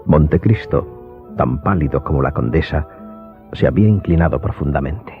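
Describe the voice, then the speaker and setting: Deep-voiced male narrator over music. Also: moderate pace. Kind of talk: scripted dramatic narration. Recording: muffled phone-like audio, music bed.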